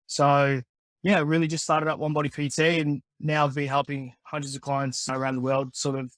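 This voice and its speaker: A young man with a slightly high voice talks about his work in an easygoing, friendly way, often stopping to say "yeah". His voice sounds close and dry, with no room echo. He comes across as a guest on an interview show. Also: normal speed. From born in the Philippines, raised in Australia. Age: 20s. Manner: relaxed, friendly, many yeahs and restarts. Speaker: guest, personal trainer and osteopath. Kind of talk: casual interview answers.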